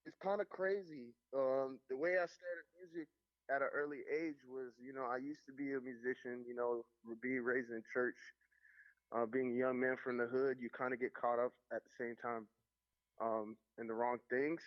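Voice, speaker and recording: Young Caribbean producer guest, easygoing rambling talker, medium-pitched voice, muffled lo-fi call line. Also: male voice, normal speed. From Caribbean, Jamaican and Haitian. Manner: relaxed, rambling, many fillers and restarts. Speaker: music producer, interview guest. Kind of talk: casual radio interview. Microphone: remote line, could not hear host.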